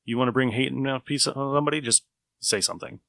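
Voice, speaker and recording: Male podcast host, rambling casual chat, gravelly, dry close mic. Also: mid-range voice, steady tone, fast talker, fairly bright tone, no room sound. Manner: chatty, rambling, laughs easily.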